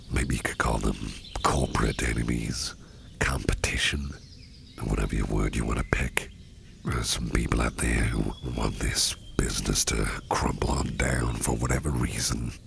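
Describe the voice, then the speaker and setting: A man playing a protective, faintly sinister businessman boss in an ASMR roleplay, speaking in a soothing, unhurried, gravelly half-whisper. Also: very deep voice, fairly bright tone, a little hiss or hum, heavily acted. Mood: calm, wry. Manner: soothing, unhurried, repeats reassurances. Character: sinister yet protective businessman boss, male, smooth-talking, doting.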